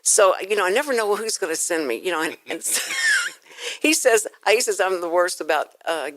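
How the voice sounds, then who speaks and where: A woman's voice: mid-range voice, huge pitch swings, moderate pace, fairly bright tone, dry recording, poor audio. A woman hosting a casual radio interview in a studio, chatty and playfully self-deprecating, rambling through her questions close to the mic. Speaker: radio show host.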